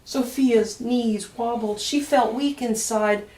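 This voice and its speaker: Woman doing kids storytime, slow n expressive, kinda high voice, sounds roomy and off the mic. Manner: dramatic emphasis with deliberate pauses. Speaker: children's author reading a picture book. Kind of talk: expressive storytime read-aloud of rhyming verse. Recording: roomy echo, distant mic.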